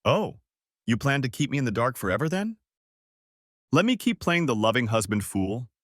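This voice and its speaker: A man's voice: mid-range voice, very animated, normal speed, dry recording, studio quality, close to an everyday voice. A man voicing a betrayed husband in a story, cold, cutting and bitterly angry. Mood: bitter accusing. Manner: cutting, accusatory.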